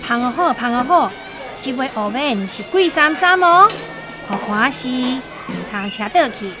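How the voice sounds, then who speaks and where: An actress with a Taiwanese accent playing a bossy village wife hosting a banquet in a radio drama. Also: female voice, high voice, expressive, measured pace, music in the background. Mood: brisk.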